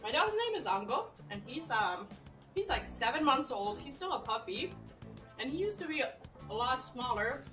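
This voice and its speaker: A woman's voice: sits high, sing-song, moderate pace, a bit bright, far from the mic, faint music behind. A young woman from Serbia chatting casually with her live-stream chat and her dog while playing a game at home.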